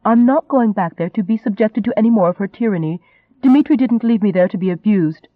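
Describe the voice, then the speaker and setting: A man voicing a proud, sharp-tongued young Englishwoman in an audiobook, indignant and biting. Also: high voice, normal speed, no room sound, phone-quality sound. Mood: defiant.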